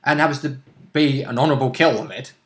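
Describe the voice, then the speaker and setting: A man's voice: medium pitch, steady tone, fast, a bit bright. A man chatting casually as a player about a tabletop role-playing game, blunt, opinionated and casually sweary.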